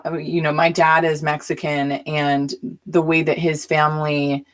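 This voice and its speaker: A woman with a slightly low voice, a teacher who grew up in Arizona and Southern California. She talks in casual conversation, emphatic and animated, often restarting her sentences as she builds a point. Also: moderate pace.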